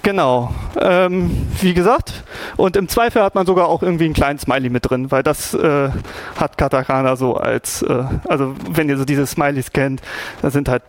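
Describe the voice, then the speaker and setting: A man's voice: a little high, normal speed. A young man casually explaining Japanese writing, rambling with lots of fillers and restarts. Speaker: presenter explaining Japanese scripts.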